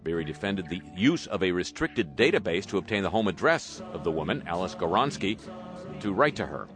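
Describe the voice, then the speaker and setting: A man's voice: low-pitched, big pitch swings, a little fast, over music. A man hosting a talk show, reading news items with wry, sarcastic asides. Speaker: host. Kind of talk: radio talk commentary.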